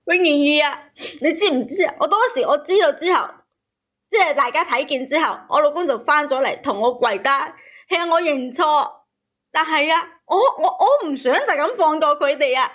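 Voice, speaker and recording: Female caller, very high voice, indignant and worked up, emotional storytelling on a talk show. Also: lively intonation, normal speed, a bit bright. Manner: agitated, indignant, repeats words for emphasis. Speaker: caller telling a family grievance.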